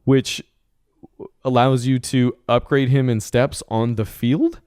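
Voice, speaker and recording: A man's voice: medium pitch, steady tone, takes it slowly, darkish tone, smooth, dry recording, studio quality. A young man hosting a podcast, easygoing and laughing often as he chats and reads out news items. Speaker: podcast host. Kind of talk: casual chat, reading news items.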